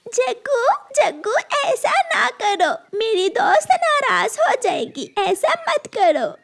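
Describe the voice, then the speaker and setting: A woman's voice: extremely high pitch, big pitch swings, slow pace, gravelly, a bit bright, exaggerated voice. A woman voicing a sweet, innocent little girl bird in a childlike, earnest way for a cartoon. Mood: pleading. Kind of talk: Hindi moral-story cartoon dialogue.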